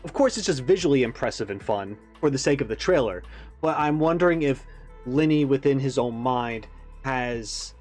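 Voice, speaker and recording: A man hosts a reaction video with a mid-pitched voice. He rambles enthusiastically through his analysis in a casual way, with faint music behind him. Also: expressive, moderate pace, a little mellow.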